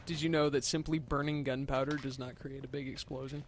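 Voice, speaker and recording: A man's voice: mid-range voice, level tone, brisk, slight background noise. A man giving casual co-commentary on a video game with a dry, joking delivery. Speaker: let's play co-commentator. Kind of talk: casual chat commentary over gameplay.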